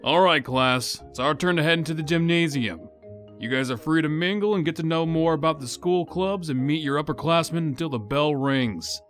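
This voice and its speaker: A man voicing a cheerful, orderly high school teacher who announces and encourages, in an animated roleplay. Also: normal speed, faint music behind, lightly acted. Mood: cheerful, instructing.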